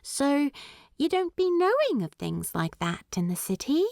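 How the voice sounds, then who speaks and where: A woman's voice: high voice, big pitch swings, measured pace, vocal fry, a little mellow, dry recording, studio quality, voice pushed up, heavily acted. A woman voicing a plain-spoken, wry hobbit countrywoman with a folksy manner in an audiobook. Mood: puzzled. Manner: rustic, folksy. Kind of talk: audiobook character voice.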